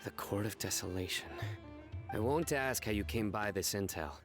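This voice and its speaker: A man voicing a stern, formal lawman in a video game, speaking in a grave, measured deadpan. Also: on the low side, music in the background, close to an everyday voice. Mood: solemn.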